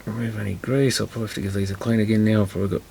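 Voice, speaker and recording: A man's voice: fairly low pitch, little pitch movement, rapid-fire, creaky, a little mellow, slight background noise. A man giving casual hands-on repair commentary, muttering to himself as he works. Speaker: repair video presenter.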